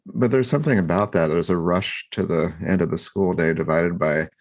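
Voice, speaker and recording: A man co-hosting a podcast, talking through his thoughts in a reflective, halting way with many restarts and fillers. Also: sits low. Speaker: podcast co-host. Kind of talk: reflective casual discussion.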